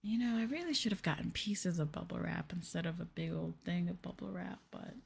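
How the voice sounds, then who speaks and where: Casting: female ASMR streamer, hushed and gravelly, gentle rambling casual chat, audible noise in the recording. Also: on the low side, a little fast. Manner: gentle, hushed, rambling, drifting at times into whispers. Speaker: streamer and VTuber host. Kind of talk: soft-spoken ASMR casual chat to live viewers.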